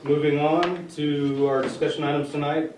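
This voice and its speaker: A man's voice: fairly high pitch, level tone, normal speed. A man chairing a public board meeting in an easygoing way, with light jokes and lots of "uh". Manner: easygoing, jokes a little, says "uh" a lot. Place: meeting room. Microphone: distant room mic. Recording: echoey room from far off, noisy.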